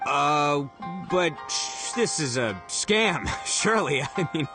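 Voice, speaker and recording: A man's voice: fairly high pitch, lively intonation, slow pace. A man playing a brash, goofy, hot-headed but big-hearted gang boss in an anime video game, cocky and hammy. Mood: confused, eager. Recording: loud music behind the voice.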